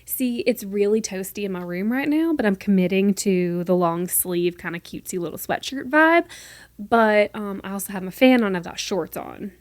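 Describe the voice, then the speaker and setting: A woman's voice: mid-range voice, no echo. A woman in her twenties from North Carolina, hosting a casual solo podcast from her room, chatty and playful, with a mostly neutral American accent that slips into a light Southern lilt. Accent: mostly neutral American, with a light Southern lilt at times. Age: 20s. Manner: chatty, fast-talking and playful. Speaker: podcast host.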